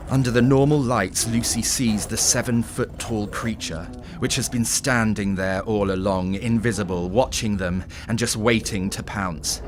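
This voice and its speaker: A man narrating a scripted horror story in a dramatic, deliberate storytelling manner. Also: lowish voice, steady tone. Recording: clearly noisy background ambience.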